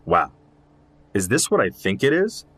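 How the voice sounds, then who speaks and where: An actor playing a familiar, concerned fatherly friend in an audio drama, with a husky voice. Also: fairly low pitch, wildly swooping pitch, a little slow, a bit bright, full character voice. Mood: impressed, curious. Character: warm, concerned fatherly male friend of an investigator.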